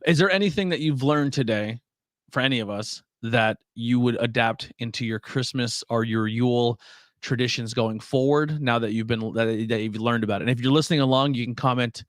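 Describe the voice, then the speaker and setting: A man hosting a casual podcast, loosely putting questions to his co-hosts and swearing now and then. His voice is mid-pitched and sounds close and dry. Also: a little fast, no room sound. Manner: loose, profane, asks the others questions. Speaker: podcast host.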